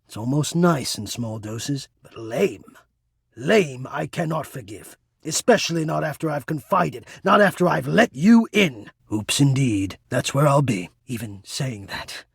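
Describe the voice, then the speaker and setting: A man voicing an anxious, melodramatic, yearning male game character who hides away, with florid, halting, theatrical speech. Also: medium pitch, lively intonation, gravelly, slightly rough, no room sound, clean studio sound, heavily acted. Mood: wounded, indignant, then nervous, eager. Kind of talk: video game character acting.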